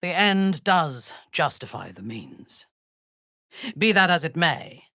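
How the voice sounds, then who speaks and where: A woman playing a ruthless, haughty drow warrior zealot in a fantasy video game, speaking in an imperious, menacing and deliberate way. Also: low voice, big pitch swings, bright, forceful tone, no room sound, clean studio sound, exaggerated voice. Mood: commanding.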